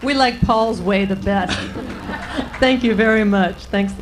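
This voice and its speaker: A woman's voice: medium pitch, measured pace, background noise. Female panel moderator, friendly and businesslike. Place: large tent at an outdoor event.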